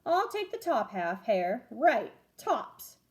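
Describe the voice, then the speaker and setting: Lazy, gullible rich bear for a storybook, played by a woman, high-pitched, drawling, melodically put-upon. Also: very animated, slow, a little mellow, exaggerated voice. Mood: sleepy. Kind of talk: children's storybook character voice.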